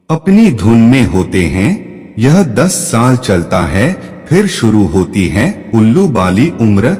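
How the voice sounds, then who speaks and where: A man narrating a devotional fable in a measured, solemn way. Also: fairly low pitch, darkish tone. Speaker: storyteller. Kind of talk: slow devotional story narration. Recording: long hall reverb.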